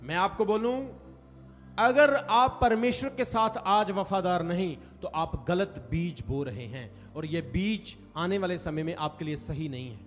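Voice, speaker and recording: A man's voice: high-pitched, a little slow. A man preaching a sermon to a congregation, stressing and stretching key phrases and calling for responses. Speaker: pastor. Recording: reverberant hall with faint music. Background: faint music.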